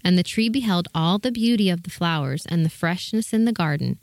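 A woman reading a scripted children's story as narrator, in a measured, expressive manner. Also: on the low side, no room sound.

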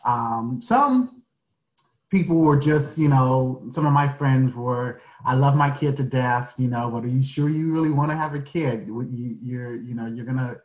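Guest: man in his forties, earnest, hesitant, recorded in an echoey room. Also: medium pitch. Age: 40s. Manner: earnest, halting, many fillers. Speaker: podcast guest. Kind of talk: casual interview answers.

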